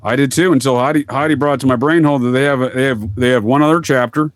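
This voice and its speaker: A man's voice: medium pitch, fast talker, dry recording. Wry male podcast guest, dry sound. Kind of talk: casual anecdotal explaining.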